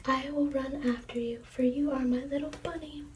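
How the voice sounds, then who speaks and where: A devoted mother rabbit from a picture book, whispered by a woman reading aloud. Her high, even whisper stays gentle and level. The voice sounds far off, with a steady hiss behind. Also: moderate pace, echoey room, close to an everyday voice. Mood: tender. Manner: soft whisper. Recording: distant mic, clear noise.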